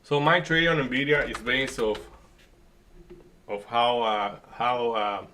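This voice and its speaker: A man from the Dominican Republic with a Dominican Spanish accent, a native Spanish speaker hosting a live trading stream with casual, joking chat and halting, restarting market commentary. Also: mid-range voice, fairly even intonation, pushed and bright, slight background noise. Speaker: day trading streamer host.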